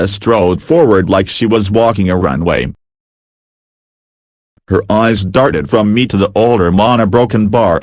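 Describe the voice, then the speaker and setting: Male story narrator, lowish register, deliberate scripted delivery, very dry close sound. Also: no room sound.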